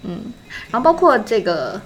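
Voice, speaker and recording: A woman's voice: a little high, wildly swooping pitch, moderate pace, slight background noise. A woman hosting a casual chat, talking in a chatty way and often echoing and agreeing with others. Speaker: host of a radio drama cast chat. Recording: background music. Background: music.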